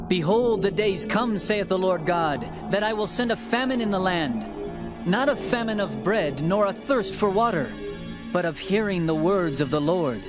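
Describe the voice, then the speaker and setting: A male narrator delivers scripture as drama, pitched high for a man. His phrasing is measured, solemn and declamatory. Music plays clearly behind him. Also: lively intonation.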